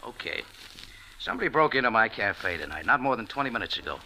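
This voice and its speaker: Wry, animated hard-boiled American. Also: male voice, fairly low pitch, quick pace, slight background noise, heavily acted, poor audio. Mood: cagey. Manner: hard-boiled, flippant, terse. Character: wry, tough American expatriate cafe owner. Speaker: lead actor and narrator of a radio mystery series. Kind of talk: old-time radio hard-boiled narration and dialogue.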